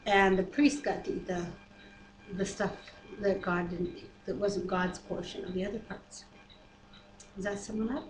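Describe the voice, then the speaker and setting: A woman's voice: mid-range voice, steady tone, normal speed. A middle-aged woman reading scripture aloud with casual commentary at home, picked up by a computer webcam microphone. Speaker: Bible reader and discussion co-host. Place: living room at home. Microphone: computer webcam mic at desk distance. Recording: roomy, echoey home webcam, faint background noise.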